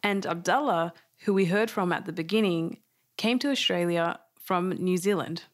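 A woman narrating an audio documentary in a calm, scripted storytelling manner. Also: on the low side, moderate pace.

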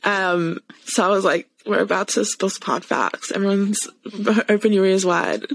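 A woman's voice: medium pitch, steady tone, moderate pace, vocal fry, low-quality recording. A woman from Australia with an Australian accent, hosting a podcast in a casual, bubbly chat and laughing often, heard over a remote call. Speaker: podcast host.

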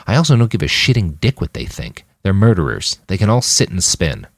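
A man reads the part of a weary young man in an audiobook, grieving yet defiant. He is blunt and plain-spoken, with a low voice that moves expressively, recorded dry. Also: big pitch swings, fairly quick, gravelly, dry recording, heavily acted.

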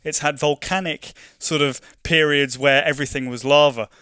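A man's voice: on the high side, steady tone, normal speed, sharp tone, smooth, dry recording, clean studio sound. A young man from London with a London accent, talking casually in an interview, full of restarts and "you know" fillers. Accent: London English. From London, England. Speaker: conservation project worker.

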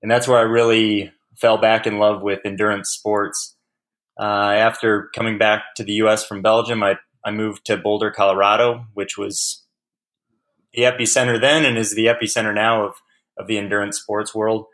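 A man's voice: lowish voice, little pitch movement, normal speed, fairly bright tone. A man being interviewed as a guest on a podcast, answering in a friendly, easygoing way with frequent restarts and fillers. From United States.

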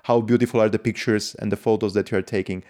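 Man, podcast host, enthusiastic, lowish voice. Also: steady tone, quick pace, warm tone. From lives in Switzerland. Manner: enthusiastic, non-native phrasing. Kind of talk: casual podcast interview.

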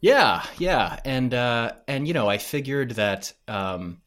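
A man's voice: medium pitch, sing-song, takes it slowly, a bit bright. A man speaking as a podcast guest in casual conversation, thinking aloud a little hesitantly. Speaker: podcast creator, interview guest.

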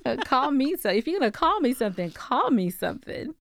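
A woman's voice: sits high, big pitch swings, a little fast, creaky, no echo. Candid woman on a dry close mic. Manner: candid, reflective, bursts into playful laughter. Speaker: podcast co-host. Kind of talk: casual chat.

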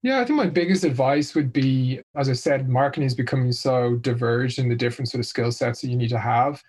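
A man's voice: mid-range voice, a little fast, darkish tone, husky. A man from Ireland answering interview questions as a senior marketing executive, in a conversational, explanatory way.

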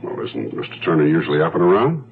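An actor playing a matter-of-fact, methodical New York detective in a 1970s radio drama. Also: male voice, deep voice, sing-song, fast talker. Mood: probing, dry. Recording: lo-fi 1970s radio recording, narrow band.

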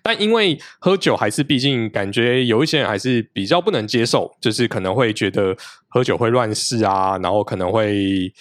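A man, a podcast guest explaining his show in a steady, explanatory way. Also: on the low side, normal speed, no room sound, clean studio sound. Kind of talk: casual interview talk.

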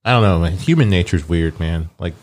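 Laid-back male co-host with a low voice. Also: fairly quick, darkish tone. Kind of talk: casual podcast chat.

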